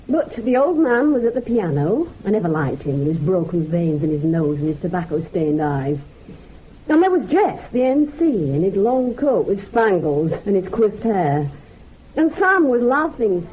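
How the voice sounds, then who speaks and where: A woman's voice: medium pitch, close to an everyday voice. An actress playing a woman in a radio drama, telling a rambling, colloquial story of a haunted memory. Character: woman recounting a dream of wartime dead in a club. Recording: muffled narrow-band radio recording, background hiss.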